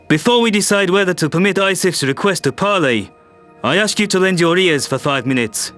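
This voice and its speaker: A man voicing a calm, firm human world leader who speaks in a measured, persuasive way. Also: high voice, pitched below the performer's own voice. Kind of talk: audio drama acting.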